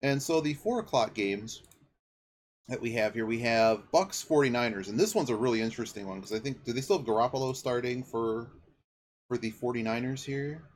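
A man's voice: mid-range voice, animated, brisk, a little hiss or hum. A man hosting a sports podcast, giving casual off-the-cuff football commentary and thinking aloud in a rambling way. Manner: rambling, thinking aloud, checking facts mid-sentence.

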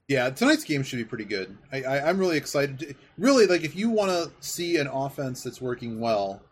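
A man's voice: mid-range voice, very animated, brisk. A man hosting a podcast, chatting casually about sports in a rambling, enthusiastic way. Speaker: podcast host. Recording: clearly audible background noise.

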